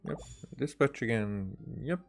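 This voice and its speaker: A man's voice: medium pitch, animated, measured pace, gravelly, soft background music. A man doing casual live game commentary, hesitant and thinking aloud as he goes. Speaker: video game streamer.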